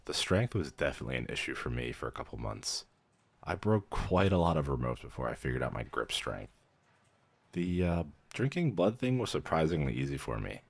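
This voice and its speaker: A man's voice: a bass voice, heavily acted. A man playing a weary, friendly young vampire mentor in a roleplay audio, dryly professional yet casual and a little hesitant. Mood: dry, helpful. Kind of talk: roleplay audio, conversational acting.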